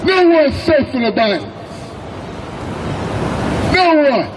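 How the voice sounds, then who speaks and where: An American man delivers an urgent, admonishing street speech. His voice is extremely high and his words come slowly, with faint traffic noise behind him. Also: big pitch swings. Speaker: street protester.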